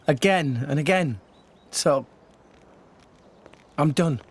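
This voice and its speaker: A man's voice: a little high, slow pace, husky, exaggerated voice. A man voicing an earnest, self-doubting teenage boy hero in a video game. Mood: defeated.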